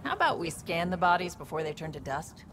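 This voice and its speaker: A savvy alien squadmate in a video game, talks in a fairly low voice. She is dry and down-to-earth, heard over clear ambient and vehicle noise. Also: very animated, fast talker. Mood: dry, casual. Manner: casual, dry. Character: street-smart, pragmatic female alien squadmate.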